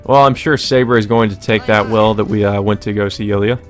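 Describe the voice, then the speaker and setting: A man's voice: lowish voice, steady tone, fairly quick. Man reading a visual novel aloud, faint music.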